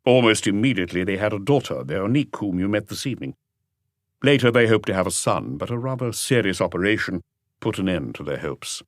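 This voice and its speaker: A man's voice: fairly low pitch, expressive, fairly quick, dry recording, clean studio sound, lightly acted. A man voicing an earnest, talkative doctor who explains things with assurance in an audiobook mystery. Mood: frank, explanatory. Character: earnest male doctor, talkative and conscientious family friend.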